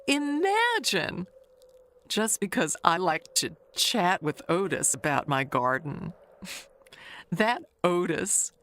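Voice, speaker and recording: Nosy older neighbor woman, played by an actress, audio mystery monologue. Unhurried, swooping delivery, confiding and sly. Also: fairly low pitch, big pitch swings, a bit bright, a little hiss or hum, full character voice. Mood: coy, flattered. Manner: gossipy, confiding, halting with pauses.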